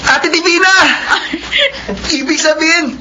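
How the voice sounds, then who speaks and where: High-voiced cheerful young Filipino man. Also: a little slow. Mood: happy, proud. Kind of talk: Filipino radio drama acting. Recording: faint background noise.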